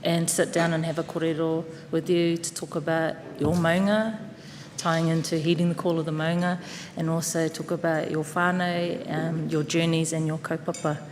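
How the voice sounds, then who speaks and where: A woman's voice: low voice, normal speed. NZ woman presenting in a hall. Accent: New Zealand. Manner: relaxed, with frequent ums and te reo Māori words. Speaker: conference presenter. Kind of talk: speech from the stage. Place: conference hall with audience. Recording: reverberant hall with crowd and faint noise.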